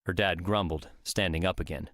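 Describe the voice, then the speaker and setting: A man's voice: sits low, steady tone, moderate pace, a bit bright, no echo, studio quality. A man reading scripted story narration for an audiobook.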